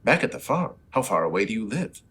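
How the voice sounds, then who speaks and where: A man playing an earnest, brisk, courteous male reporter with a husky voice, in old-time radio hero style. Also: lowish voice, big pitch swings, a bit bright, heavily acted. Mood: urgent.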